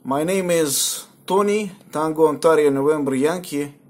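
A man from Moscow with a Russian accent, speaking haltingly as an amateur radio operator and spelling out call signs in the phonetic alphabet. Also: a little high. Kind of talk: ham radio contact chat, on-air call signs. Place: indoors at a computer.

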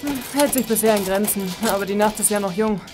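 An actress playing a composed, dry police officer in a horror radio drama, probing and matter-of-fact. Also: female voice, medium pitch, fast talker, over music, natural voice. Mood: casual.

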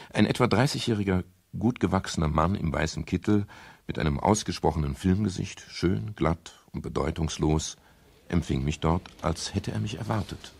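An actor playing a wry, world-weary private detective in a German radio crime drama, speaking in a dry, laconic way. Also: male voice, low-pitched, gravelly, a little mellow, slightly rough, full character voice. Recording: faint hiss, 1970s radio recording.

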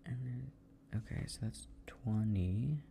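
A man doing a soft ASMR boyfriend roleplay, murmuring and half-whispering to himself as he works. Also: lowish voice, slow, vocal fry, slight background noise. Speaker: audio roleplay performer playing a boyfriend. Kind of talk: soft improvised ASMR roleplay, muttering to himself while working.

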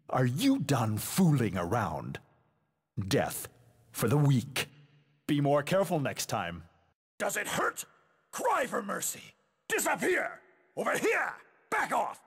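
Gravelly, haughty fighting-game warrior. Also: male voice, medium pitch, big-room sound, full character voice. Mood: angry taunting. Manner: haughty, declamatory, dramatic. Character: proud, menacing adult male warrior, disdainful of weaker foes. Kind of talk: video game fighting voice clips, theatrical.

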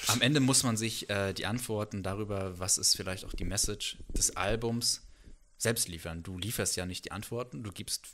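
A man's voice: fairly low pitch, moderate pace, a bit bright, slight background noise. A man in his thirties hosting a casual interview, speaking into a handheld microphone in a living room. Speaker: interviewer, host.

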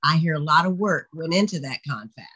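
An older woman playing a wry, guarded veteran journalist with a dry, measured manner, in a play reading. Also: low-pitched, lively intonation, pushed and bright, husky, lo-fi sound, exaggerated voice. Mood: polite, wry. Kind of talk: staged play reading over video call. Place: indoors, on a video call. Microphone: video call microphone.

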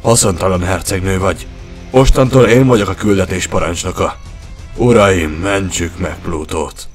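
A man's voice: low voice, faint music behind. A man voicing an arrogant, greedy alien warrior commander in a cartoon fairy tale, cold, menacing and sneering. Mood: contemptuous, commanding. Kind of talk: animated fairy tale dub.

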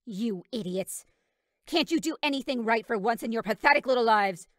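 A woman's voice: a little high, expressive, moderate pace, no echo, natural voice. A woman voicing a furious female cartoon villain boss, barking scornful insults in a dry studio. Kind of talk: character voice reel, cartoon acting. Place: treated home studio. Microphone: studio condenser microphone.

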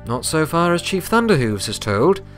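Storybook female buffalo played by a man: young, polite, formal, high pitch, music under. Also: sing-song, moderate pace, a bit bright.

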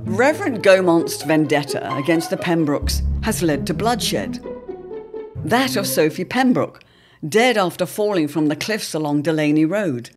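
A female narrator delivers scripted, dramatic serial narration. Music plays clearly behind her voice. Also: fairly low pitch, expressive, a little slow.

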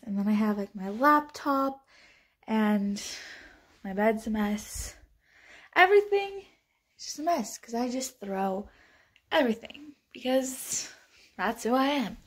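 A young woman filming a chatty clean-with-me vlog in her bedroom on a phone camera, bubbly and a little out of breath. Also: a little high. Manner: bubbly, chatty, says "like" often. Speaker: vlogger. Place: small bedroom, indoors. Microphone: phone camera moved around the room.

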